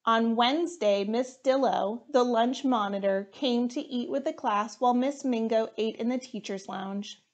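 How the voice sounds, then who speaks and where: A woman in her thirties reading a children's storybook aloud in an expressive, deliberate way. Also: fairly high pitch.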